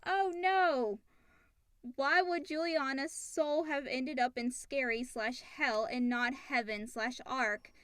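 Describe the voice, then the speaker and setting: A woman's voice: sits high, slow pace, a bit bright, clear, resonant tone, no room sound, clean studio sound. A woman co-hosting a casual talk show, chatting and reading out listener questions.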